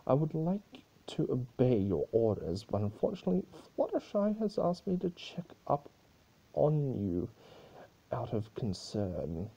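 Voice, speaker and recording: Man voicing a husky, mischievous male chaos spirit. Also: medium pitch, huge pitch swings, a little slow, warm tone, lo-fi sound, pitched above the performer's own voice, heavily acted. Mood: teasing. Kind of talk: dramatic fan-fiction reading.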